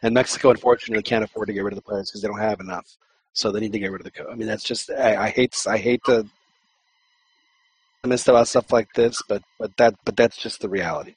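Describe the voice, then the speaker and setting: A man's voice: on the low side, fairly even intonation, fast, slightly rough, no echo, low-quality recording. A man co-hosting a football podcast, joking in casual chat and often repeating words as he talks. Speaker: podcast co-host.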